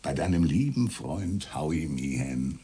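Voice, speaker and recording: An actor playing a weary, gruff police captain who speaks in an exasperated way. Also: male voice, a bass voice, lively intonation, a little slow, mellow, heavily acted. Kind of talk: radio drama acting.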